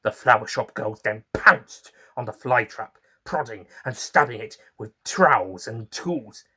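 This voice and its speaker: A man's voice: mid-range voice, lively intonation, unhurried, sharp tone, breathy edge. A man narrating a first-person story theatrically and in character, with chatty asides to the listeners. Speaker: writer and narrator reading in character.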